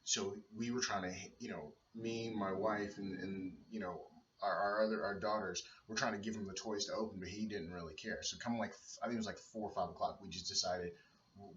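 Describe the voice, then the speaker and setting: A man's voice: bright, forceful tone, slight background noise. A man in his thirties, a father, chatting casually in a home video blog, hesitant and rambling with many fillers and restarts. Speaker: vlogger and father. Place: dim room at home. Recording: roomy, distant, dull low-fi sound.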